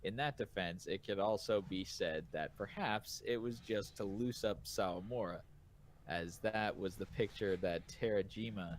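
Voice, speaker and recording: Lowish-voiced man reading comments haltingly. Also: a little hiss or hum, poor audio. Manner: halting reading, rephrasing as he goes. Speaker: podcast co-host reviewing manga. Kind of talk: casual chat, reading listener comments aloud.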